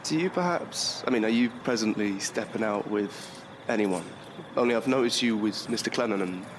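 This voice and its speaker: An actor playing a shy, earnest, lovesick young suitor in an audio drama, speaking haltingly and awkwardly. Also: male voice, lowish voice, close to an everyday voice. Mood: nervous. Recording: noisy outdoor street ambience behind.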